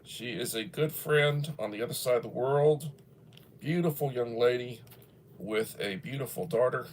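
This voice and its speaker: A man's voice: mid-range voice, normal speed, a little hiss or hum, poor audio. A man in his early sixties, chatting casually on a livestream, rambling and folksy as he reads viewer comments aloud. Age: 60s. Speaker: livestream host.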